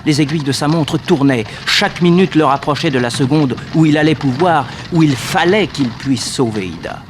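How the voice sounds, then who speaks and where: An actor playing the dramatic, suspenseful narrator of a 1960s French radio serial, on a noisy old recording. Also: male voice, on the high side, moderate pace, close to an everyday voice.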